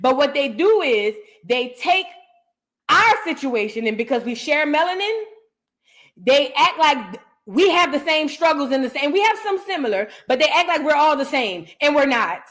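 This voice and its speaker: A woman hosting a casual livestream, chatty and animated, reading out viewer messages and giving her opinion. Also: sits high, moderate pace. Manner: chatty, animated, reads out viewer super chats. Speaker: livestream host. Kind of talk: casual livestream reaction chat.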